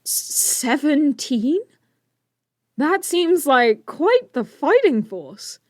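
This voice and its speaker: An excitable teen science whiz played by a woman in an audio drama, with a high, very animated voice. The delivery is geeky, eager and expressive, recorded close and dry. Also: very slow, no room sound, full character voice. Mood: curious, chatty. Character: eager, brainy teenage inventor hero.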